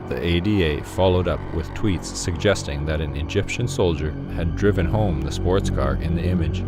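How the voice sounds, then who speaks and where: Deep-voiced deadpan male narrator over loud music. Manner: deadpan, formal. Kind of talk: scripted narration of a mock documentary horror story.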